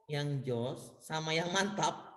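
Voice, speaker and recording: An Indonesian man leads a casual live tutoring session in a bright, forward voice. He talks informally, like a teacher among colleagues. He often repeats his phrases as he reassures his listeners. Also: fairly high pitch, slow. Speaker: online exam-prep tutor and schoolteacher. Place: at a school, nearly alone.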